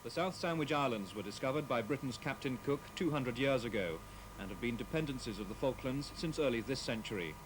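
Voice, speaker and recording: A man's voice: medium pitch, lively intonation, brisk. A man narrating a scripted news report. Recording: clearly noisy old TV broadcast tape.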